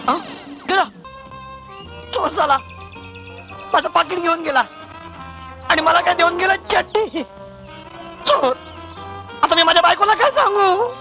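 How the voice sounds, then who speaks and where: An actor playing a flustered police constable who stammers and shouts as he chases a pickpocket. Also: extremely high pitch, unhurried, pauses often, over music, rough recording. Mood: dismayed. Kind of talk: comic film acting.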